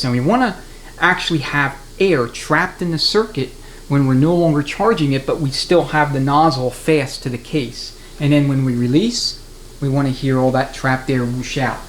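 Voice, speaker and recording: A man with a mid-to-low voice walks through a hands-on repair job like a shop instructor. He is methodical and plain-spoken, laying out each step as he works. The room rings with echo, and there is clear noise behind him. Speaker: transmission mechanic giving a how-to demonstration. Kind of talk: hands-on workshop tutorial, unscripted explanation. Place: indoors at a transmission workbench.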